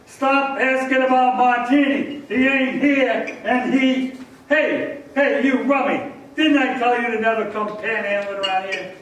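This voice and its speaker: A man playing a gruff, suspicious working man in a stage radio play, curt and brusque. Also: extremely high pitch, fairly even intonation, a little slow, a bit bright. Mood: angry, bullying. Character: gruff, hostile working man of a tough town. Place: live stage in a large echoing hall. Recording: echoey hall from far off, faint noise.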